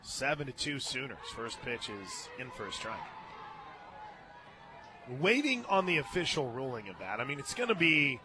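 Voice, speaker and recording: A man with an American accent doing live play-by-play radio commentary of a softball game, moving between chatty asides and excited calls. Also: mid-range voice, moderate pace. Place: open radio booth at a ballpark. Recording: outdoor stadium noise behind the voice. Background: ballpark ambience.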